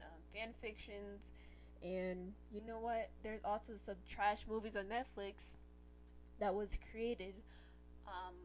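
A young woman hosting a podcast in casual chat, rambling and correcting herself, a little hoarse from losing her voice. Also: mid-range voice, a little mellow, dull audio, slight background noise. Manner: rambling, self-correcting, exasperated ranting. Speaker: podcast host.

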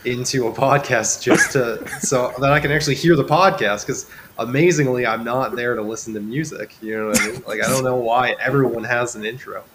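A man of medium pitch sounds nasal and stuffed up with a cold, with noticeable noise behind him. He chats as a podcast guest in a casual, dryly joking way. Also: brisk, hardly any pauses. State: congested with a cold. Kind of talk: casual chat. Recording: clearly noisy background.

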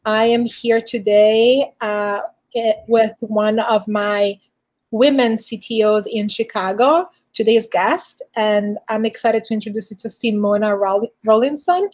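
A woman's voice: fairly high pitch, fairly even intonation, unhurried, a little mellow. A woman hosting an interview, asking long, rambling questions with many fillers. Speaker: podcast host.

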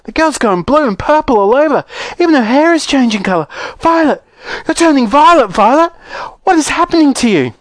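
Casting: horrified middle-aged mother, shrill, high-pitched screamer, frantic and demanding. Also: sing-song, brisk, no room sound. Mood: screaming alarm.

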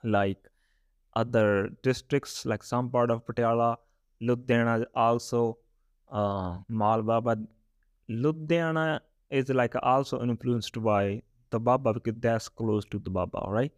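A man's voice: medium pitch, unhurried, dry recording, clean studio sound. A young man from Punjab with a Punjabi accent, hosting a casual spoken lesson podcast and often checking in with "right?" between his points. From Punjab, India. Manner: chatty, often adds "right?" and "all right?". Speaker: podcast host teaching Punjabi.

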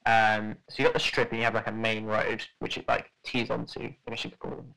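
A man's voice: lowish voice, monotone, brisk, creaky, sharp tone, low-quality recording. A young man telling a story in casual podcast chat, rambling with lots of fillers and restarts.

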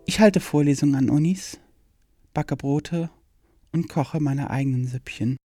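A man delivering a scripted personal monologue in a radio play, with calm, measured, declarative statements. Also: fairly high pitch, dry recording, clean studio sound.

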